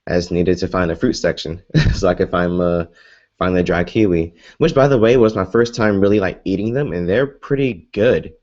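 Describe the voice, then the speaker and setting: A man's voice: deep voice, normal speed, a little mellow, muffled recording. A young American man chatting casually in a live Q&A vlog, rambling with many fillers and restarts. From United States. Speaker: vlogger.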